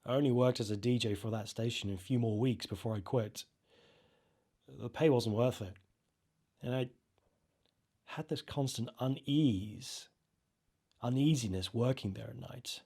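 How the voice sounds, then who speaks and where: Man narrating a scary story, medium pitch. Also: husky. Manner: expressive, halting, acts out reactions. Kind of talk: dramatised first-person scary storytelling.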